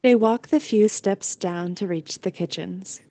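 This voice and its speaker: A woman's voice: medium pitch, unhurried, mellow, no room sound. A woman narrating a scripted fantasy audio story in a storytelling voice.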